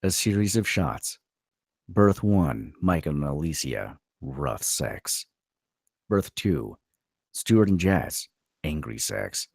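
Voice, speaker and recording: A man's voice: rumbling low, big pitch swings, slow pace, gravelly, no echo, studio quality. A man narrating stage directions as scripted narration at a table read.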